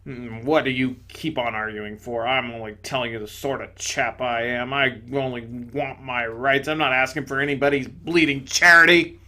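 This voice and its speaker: A man voicing a blustering, self-righteous big male ghost who talks loudly in rough, ungrammatical working-class speech, as part of a storybook reading. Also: mid-range voice, steady tone, normal speed, hardly any pauses.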